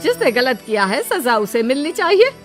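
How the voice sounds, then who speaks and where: A woman voicing an exasperated, bossy older mother-in-law in an animated story, scolding and emphatic. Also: sits high, normal speed, soft background music. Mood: firm, scolding.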